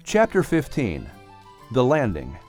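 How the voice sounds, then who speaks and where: A man's voice: medium pitch, huge pitch swings, slow pace, a little mellow, faint music behind. A man announcing and narrating a children's story in an upbeat, scripted style.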